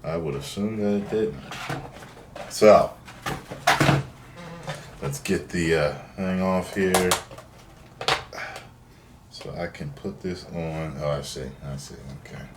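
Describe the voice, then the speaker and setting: A man's voice: low voice, slow, long pauses, far from the mic. A man hosting a casual unboxing video, chatting in a playful, slangy, exclamatory way. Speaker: video host. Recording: roomy, off-mic, faint noise.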